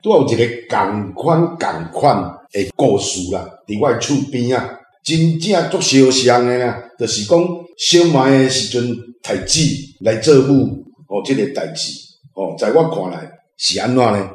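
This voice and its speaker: A man's voice: mid-range voice, lively intonation, normal speed. A man from southern Taiwan with a Taiwanese accent giving informal commentary on a story, often ending phrases with tag particles. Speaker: reading club member sharing thoughts. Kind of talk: informal spoken book commentary. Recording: roomy sound, faint music.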